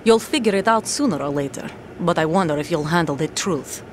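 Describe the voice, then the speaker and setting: A woman's voice: fairly low pitch, lively intonation, fairly quick, slight background noise, close to an everyday voice. A woman voicing a tough female soldier working undercover, in a video game cutscene with music under it, speaking tersely and commandingly. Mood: urgent. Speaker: game character.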